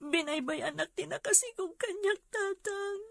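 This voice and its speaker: A woman's voice: extremely high pitch, moderate pace, creaky, fairly bright tone, no room sound, full character voice. A woman with an Ilocano Filipino accent playing an emotional, earnest young woman in a melodrama. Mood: tearful pleading. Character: emotional young woman, daughter and loyal friend.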